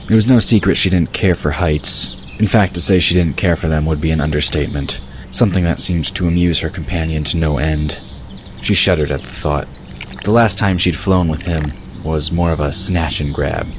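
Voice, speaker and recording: A man narrating a story in a measured, even way. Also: very deep voice. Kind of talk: scripted audiobook narration. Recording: hissy recording with bird ambience.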